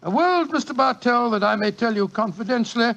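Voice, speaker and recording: A man's voice: very high voice, animated, brisk, creaky, a bit bright, full character voice. An actor playing Doctor Watson as a genial older Englishman, chatty and avuncular, in a 1940s radio drama. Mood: genial, nostalgic. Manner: chatty, avuncular, old-fashioned.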